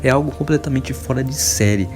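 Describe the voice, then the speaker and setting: A man's voice: mid-range voice, a little fast, music in the background. A Brazilian man hosting a casual enthusiast video, talking in an emphatic, informal, wonderstruck way. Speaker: video host.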